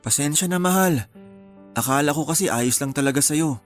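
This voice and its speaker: A man's voice: on the high side, moderate pace, soft background music, close to an everyday voice. A man playing a placating young husband. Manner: placating, apologetic. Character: apologetic young husband, soft-spoken. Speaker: husband in a radio love story. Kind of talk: radio drama acting.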